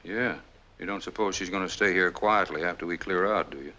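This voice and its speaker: Callous family schemer, low quick delivery, suspicious, 1940s crime film. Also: male voice, sharp tone. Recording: dull old film soundtrack.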